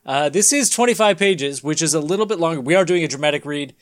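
A man's voice: a little high, lively intonation, quick pace. A man hosting a podcast with casual comedic banter. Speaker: podcast host.

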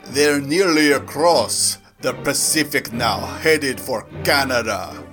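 A scheming syndicate crook from a comic book, voiced by a man reading along reports and commands tersely. His tone is bright and forceful, with faint music in the background. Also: medium pitch, slow. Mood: reporting.

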